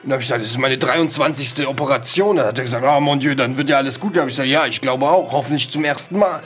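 Horror radio play: male actor as pompous French doctor, mid-pitched, hesitant, formal and long-winded. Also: racing, a bit bright, soft background music, close to an everyday voice. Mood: self-amused. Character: pompous French doctor, eccentric man of science. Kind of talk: improvised horror tabletop audio drama.

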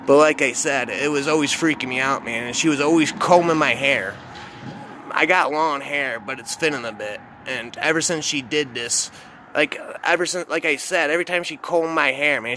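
A man telling a dark first-person story in a casual, rambling way, often adding asides and calling the listener "man". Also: mid-range voice, slight background noise.

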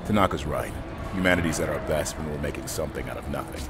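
An actor playing a steady, resolute armored squad leader in a video game, with a commanding, earnest delivery. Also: male voice, quick pace. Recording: loud music and battle rumble behind.

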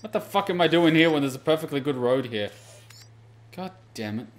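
A man's voice: a little high, lively intonation, a little fast, noisy background. A man giving casual gameplay commentary, muttering to himself and swearing in exasperation. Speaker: gaming streamer.

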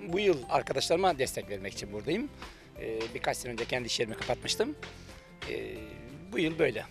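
A middle-aged man from Turkey giving an on-camera interview outdoors by a beach as a cafe manager, speaking into a handheld mic with long drawn-out fillers and confident claims. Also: medium pitch, sing-song, music in the background. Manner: hesitant, many drawn-out fillers, self-assured claims. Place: outdoors by a beach under trees. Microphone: handheld reporter mic.

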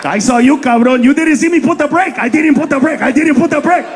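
A man's voice: extremely high pitch, a little fast, a little hiss or hum, pitched above the performer's own voice. A man on stage playing a panicked immigrant driver pleading with a police officer, mixing in Spanish words. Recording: live stage with loud crowd laughter.

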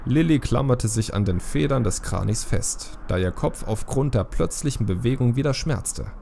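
A man's voice: mid-range voice, lively intonation, normal speed, vocal fry, warm tone, a little hiss or hum. A man narrating a fantasy audiobook in a scripted, dramatic storytelling style.

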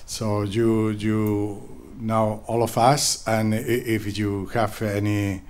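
A man chairing an academic workshop in a large lecture hall, talking haltingly with many fillers and repeated words into a handheld microphone. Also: fairly low pitch, level tone, darkish tone. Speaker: workshop organizer chairing the session.

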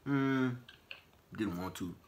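A man's voice: on the low side, slow pace, noisy background. A young man chatting with strangers on a video call from his bedroom, in a laid-back, teasing, dismissive way. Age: young adult. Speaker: vlogger on random video chat. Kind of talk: casual teasing chat. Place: small bedroom indoors. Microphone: webcam or phone camera.

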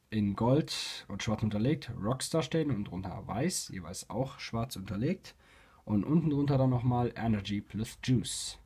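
A young man with a cold, casually reviewing a product and talking in a halting way with many fillers and restarts. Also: on the low side, warm tone, slightly rough. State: has a cold. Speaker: YouTube reviewer. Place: indoors at a table, voice-over with hands on camera.

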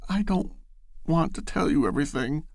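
A naive young woman's voice, pitched high and quavering on the edge of sobs, as a man puts her on. Her lines swoop widely up and down and come out slowly. The sound is close and dry. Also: lively intonation, gravelly, breathy edge, no room sound, heavily acted. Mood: distraught, pleading. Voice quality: quavering, sobbing.

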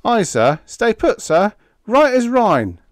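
Cockney ship's steward, bright-tempered and eager to oblige, very high-pitched, bouncing intonation, space audiobook. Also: male voice, wildly swooping pitch, takes it slowly, no room sound, studio quality, exaggerated voice. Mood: cheerful.

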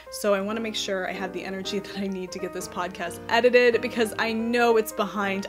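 A woman in her thirties chats to camera from a cozy home office, her voice slightly nasal from a cold. She talks loosely and warmly with plenty of ums and little laughs, her pitch sitting in a middle range, and music plays clearly underneath. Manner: chatty, many ums, frequent light laughs. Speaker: knitting podcast host. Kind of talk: casual chat to camera.

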